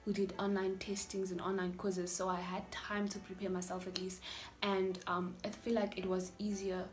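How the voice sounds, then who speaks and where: A woman's voice: mid-range voice, little pitch movement, moderate pace, soft background music. Chatty South African young woman. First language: Afrikaans. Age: 20s. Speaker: vlogger, university student. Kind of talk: candid personal storytelling vlog.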